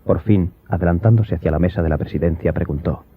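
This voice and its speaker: A very deep, husky man narrates a story from a script. The sound is dull, with faint music underneath. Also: quick pace.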